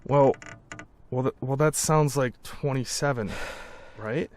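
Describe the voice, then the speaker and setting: A man's voice: mid-range voice, slow, slight background noise, natural voice. A man playing an uneasy, plain-spoken police partner in a video game cutscene, unsure and deferring. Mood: unsure, conspiratorial. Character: uneasy male police partner searching for drugs.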